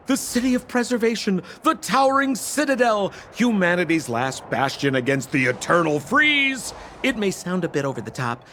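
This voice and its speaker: A flamboyant young male swindler for a video game, pitched high and swooping widely from phrase to phrase. He is silver-tongued and theatrical, buttering up the listener like a salesman. Faint noise sits behind the voice. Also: fairly bright tone. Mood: grandiose. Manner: theatrical, ingratiating salesman patter. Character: flamboyant, silver-tongued con-man treasure dealer, young adult man. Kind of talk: animated video game voice acting.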